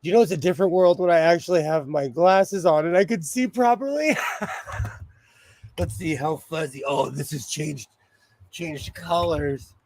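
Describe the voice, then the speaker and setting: A man hosting a casual sewing livestream, chatty and apologetic, laughing often, his voice a little hoarse. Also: on the high side, measured pace, a little mellow, slight background noise. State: slightly hoarse, losing his voice.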